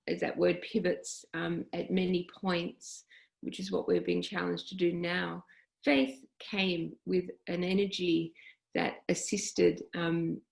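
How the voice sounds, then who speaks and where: A woman telling her personal story on a panel call, reflective and halting, with many pauses and fillers. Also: on the low side, vocal fry, roomy sound. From Australia. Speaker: guest.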